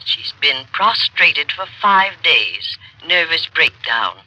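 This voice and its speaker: A woman's voice: low voice, sing-song, slow pace, sharp tone, full character voice. An actress playing a formal, guarded woman answering a house phone in a 1940s radio drama. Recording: muffled lo-fi 1940s radio broadcast.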